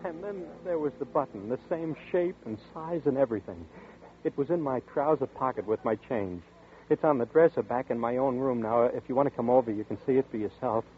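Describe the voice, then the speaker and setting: A man's voice: medium pitch, brisk, narrow, tinny audio, close to an everyday voice. An actor playing a frightened young man who narrates and stammers nervously, in 1940s radio style. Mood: desperate. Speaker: narrator and lead. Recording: scratchy 1940s radio broadcast.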